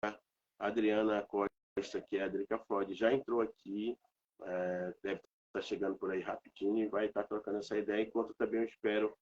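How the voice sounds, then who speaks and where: A man's voice: fairly low pitch, a little mellow, muffled recording, slight background noise. A man, congested from a cold, hosting a live stream in a casual, rambling way with many fillers.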